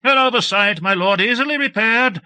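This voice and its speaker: A man voicing a nervous, fussy male servant who tends a machine and protests his innocence in a high voice. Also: normal speed, sharp tone, no echo. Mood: protesting. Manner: high, protesting, eager to excuse himself.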